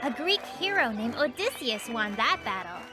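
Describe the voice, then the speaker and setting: A woman voicing a patient, knowing young girl who tells stories in an explanatory way in an animated children's series. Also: a little high, normal speed. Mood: storytelling. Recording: music behind the voice.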